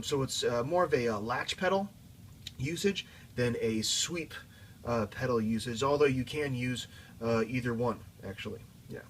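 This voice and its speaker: A man giving a casual, off-the-cuff pedal demo as a guitar teacher, explaining informally and a little haltingly. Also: mid-range voice, a little hiss or hum. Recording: guitar playing loudly alongside the voice.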